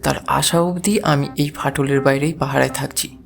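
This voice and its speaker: An actor playing a calm, self-assured male catacomb guide in an audio story, with a creaky voice. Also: medium pitch, moderate pace, soft background music, natural voice. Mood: calm, resolute.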